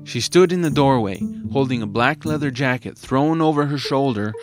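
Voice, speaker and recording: A man narrates a scripted audio drama. His voice is mid-pitched and his delivery is expressive and dramatised. Music is clearly heard behind him. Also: moderate pace.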